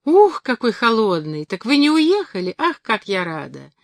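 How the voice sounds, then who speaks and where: A woman voicing an excitable, childlike young circus girl in an audiobook, gushing, impulsive and affectionate. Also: on the high side, moderate pace, no echo, voice pushed up. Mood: delighted, excited. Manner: breathless exclamations, affectionate, impulsive.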